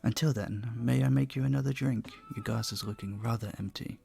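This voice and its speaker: A man playing an earnest, courteous, flirtatious vampire bartender in an intimate audio roleplay, speaking softly, politely and deliberately. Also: medium pitch, steady tone, gravelly, soft background music. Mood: warm, flirtatious.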